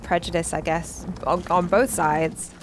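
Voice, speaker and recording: A woman's voice: lowish voice, flat delivery, measured pace, a little hiss or hum. A woman co-hosting a reaction show, commenting casually as she watches.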